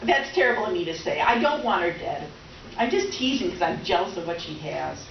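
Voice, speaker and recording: A woman's voice: fairly low pitch, very animated, fairly quick, gravelly. A woman acting a vivacious, needy middle-aged houseguest who rambles and gushes in a comic readers theater play. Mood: playful, flirtatious. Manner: gushing, rambling, dramatic, oblivious. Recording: roomy, distant, dull, noisy stage recording.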